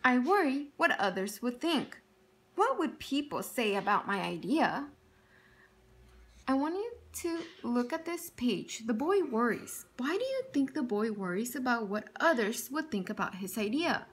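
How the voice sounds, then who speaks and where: A woman's voice: fairly high pitch, measured pace. A woman teacher reading a picture book aloud to children, telling it expressively and stopping to ask them questions. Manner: expressive storytelling with questions for young listeners.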